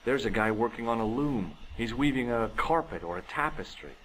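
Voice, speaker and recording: An actor playing a curious, dryly sarcastic young amateur sleuth in an adventure game. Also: male voice, mid-range voice, expressive, brisk, fairly bright tone, lightly acted. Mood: wry. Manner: dry, sarcastic. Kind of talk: adventure game voice acting, part narration. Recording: noisy game audio capture.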